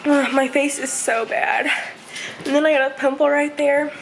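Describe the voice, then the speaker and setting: A woman in her twenties, chatting casually and rambling through a home vlog, her voice hoarse and stuffy. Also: high voice, steady tone, normal speed, a little hiss or hum, poor audio. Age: 20s. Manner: rambling, chatty, many fillers. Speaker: vlogger. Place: home, indoors. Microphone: camera set up nearby.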